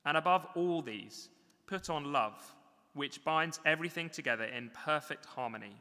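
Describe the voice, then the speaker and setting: A man reading a Bible passage aloud in church in a measured, deliberate way. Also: mid-range voice, sharp tone, big-room sound. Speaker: scripture reader.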